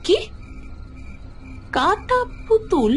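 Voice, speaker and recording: A hot-tempered elder sister in a horror radio drama. Her voice is extremely high and forceful, with animated, demanding, colloquial delivery over background music. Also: very slow, heavily acted. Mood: startled. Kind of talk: Bengali audio horror story acting.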